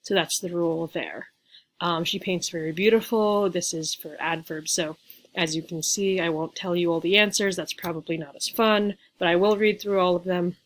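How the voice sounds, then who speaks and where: A woman's voice: on the low side, fairly even intonation, moderate pace, rough recording. A young woman teaching a grammar lesson over slides, chatty and loose, with many fillers and playful asides, recorded on a webcam at home. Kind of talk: casual slide lecture.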